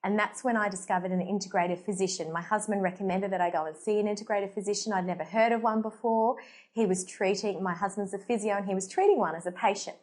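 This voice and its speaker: An Australian woman in her thirties, a doctor who hosts her own show, talks straight to the camera in an earnest, conversational way. Her voice sits at a middle pitch, and she fills her pauses with ums and small restarts. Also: steady tone, a little mellow. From Portugal. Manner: earnest, conversational, frequent fillers. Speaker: holistic doctor, show host. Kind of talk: personal story to camera. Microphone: facing the camera.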